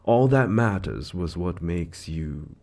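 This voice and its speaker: Suave, menacing male devil, audio drama. Low register with big swoops, theatrical, smugly condescending mock courtesy. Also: expressive, slow, darkish tone, exaggerated voice. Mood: smug taunting. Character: suave, smug male devil, menacing soul-contract broker in Hell. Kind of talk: scripted audio roleplay monologue.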